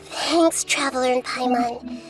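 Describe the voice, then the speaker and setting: A woman voicing a wise, childlike goddess in an anime-style video game, speaking in a soft, measured, formal way. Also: sits high, low-quality recording. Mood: calm, thoughtful. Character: gentle, wise, childlike goddess girl, calm and thoughtful. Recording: studio voice over game music.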